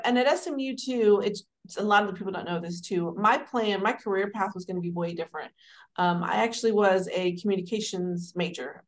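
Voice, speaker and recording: A woman in her forties from Dallas, telling her career story as an interview guest in a warm, rambling, conversational way. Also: medium pitch. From Dallas, Texas. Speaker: guest, city administrator.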